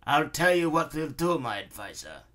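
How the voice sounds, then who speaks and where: Man acting a storybook villain baron, pompous, vengeful, slightly high, forceful, unhurried threats. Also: big pitch swings. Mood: menacing, gloating.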